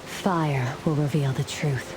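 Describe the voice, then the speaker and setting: A woman's voice: low-pitched, steady tone, takes it slowly, noisy background. A woman playing a resolute celestial fire warrior in a fantasy game, speaking in a grave, formal, declamatory way. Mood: solemn, resolute. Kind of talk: scripted fantasy game voice acting. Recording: music and rain behind the voice. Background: music, rain.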